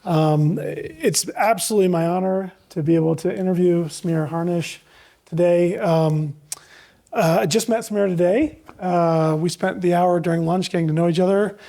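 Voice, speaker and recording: A man's voice: high-pitched, moderate pace, vocal fry, warm tone. A man introducing a guest and interviewing at a live event in the manner of a university teacher.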